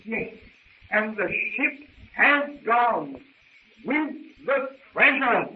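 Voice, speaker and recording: On a tinny, hissy old radio recording, a pompous old professor. His voice is very high, and he dithers and repeats himself in wordy, fussy phrases. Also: expressive, very slow, heavily acted. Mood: dismayed. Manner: hesitant, repetitive, pompous wordy speech. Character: fussy, absent-minded elderly male professor, pompous and dithering. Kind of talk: old-time radio drama acting.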